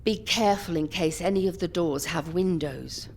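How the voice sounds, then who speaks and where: An actress playing a brisk, scolding old care-home ringleader in an audio drama. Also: female voice, lowish voice, darkish tone, slight background noise, natural voice. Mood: exasperated.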